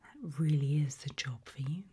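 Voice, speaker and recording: A British woman doing an ASMR roleplay in a breathy whisper, putting soft questions to the listener with deliberate pauses. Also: sits low. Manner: slow, deliberate, with long pauses.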